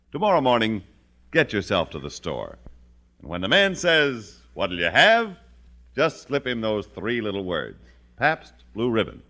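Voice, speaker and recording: Animated 1950s radio announcer, male. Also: on the low side. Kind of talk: 1950s scripted radio announcing and sponsor copy. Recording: old 1950s radio broadcast recording. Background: music.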